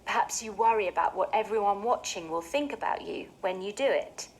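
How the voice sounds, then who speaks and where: A woman hosting a scripted mindfulness session for children, speaking in a soothing, clearly phrased, gently expressive way. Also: medium pitch, a little fast, slight background noise, rough recording. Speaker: host of a children's mindfulness show.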